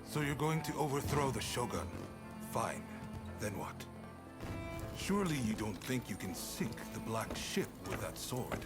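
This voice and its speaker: A man's voice: mid-range voice, sing-song, measured pace. Wry, easygoing samurai statesman over music. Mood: mocking. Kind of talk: video game cutscene acting. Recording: game audio under loud music.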